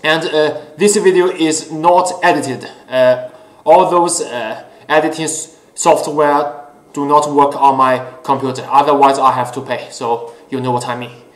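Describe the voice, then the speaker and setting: A man whose first language is Chinese talks slowly and earnestly, stopping often on fillers. His voice sits a little high. The recording picks up a noticeably echoey room around him. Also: steady tone. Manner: earnest, deliberate, many pauses and fillers. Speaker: host. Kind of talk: casual solo talk show.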